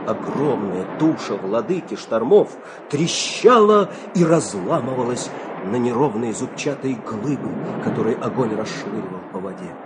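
Lively, expressive male storyteller. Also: mid-range voice, background noise. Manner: expressive storyteller with measured dramatic pauses. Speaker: narrator. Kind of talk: dramatic fairy-tale narration.

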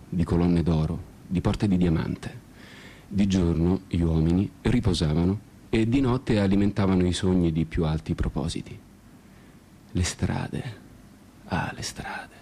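A man's voice: sits low, unhurried, warm tone, a little hiss or hum. A man delivering a scripted theatrical monologue as narrator, with a solemn, ironic air of mock nostalgia. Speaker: narrator and performer.

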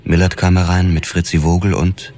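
Very deep-voiced noir detective narrator. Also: male voice, little pitch movement, normal speed, a little mellow. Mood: brooding, laconic. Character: male police detective narrating his own story, world-weary and brooding. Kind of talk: noir radio drama narration.

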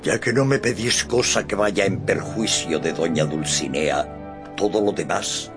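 Old knight-errant, proud, declamatory, unhurried, radio drama, clear music underneath. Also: male voice, low voice. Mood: defeated, noble. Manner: grandiloquent, declamatory.

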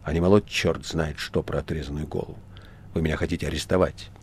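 A man voicing a troubled, proud, sharp-tongued woman in an audiobook, speaking curtly. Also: extremely low pitch, fairly even intonation, fast, slight background noise. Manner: curt and sharp.

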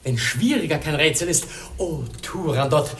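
An actor playing an ardent, confident young prince in a German radio play, declaiming poetically and rapturously. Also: male voice, fairly high pitch, moderate pace, fairly bright tone, a little hiss or hum, natural voice. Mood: confident, elated.

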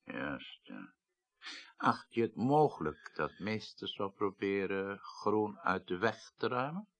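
An actor playing an earnest, discreet middle-aged official investigating a case in a radio drama, with a husky voice and Netherlands Dutch accent, formal and insistent. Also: male voice, lowish voice, sing-song, slow, a bit bright, full character voice. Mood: sober, probing. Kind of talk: 1980s radio drama acting.

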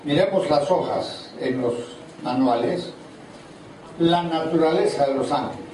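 A man's voice: on the high side, measured pace, a little mellow. An older man preaching at a church seminar, asking the congregation questions as he goes. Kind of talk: seminar sermon. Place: large hall at a church seminar. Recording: echoey hall from far off, audible noise.

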